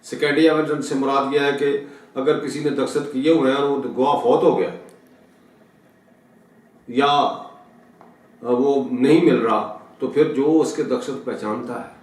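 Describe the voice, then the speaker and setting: A man's voice: medium pitch, fairly even intonation, measured pace, pauses often, darkish tone. A man with a Pakistani accent gives an explanatory law lecture, didactically repeating and rephrasing his points and mixing in English legal terms. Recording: very echoey room, distant mic.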